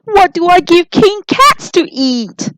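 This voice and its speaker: A woman acts out a flustered storybook man who frets and screeches. The voice jumps high and swoops around in anxious, drawn-out exclamations. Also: big pitch swings, a bit bright, no echo, heavily acted, poor audio. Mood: panicked, fretful. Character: excitable, easily panicked man fretting as a storybook host.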